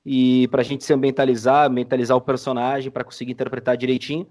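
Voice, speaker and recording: A Brazilian man with a medium-pitched voice speaks as an interview guest. He explains in a casual, conversational style. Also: little pitch movement, quick pace, a little mellow. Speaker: podcast guest, audio drama maker.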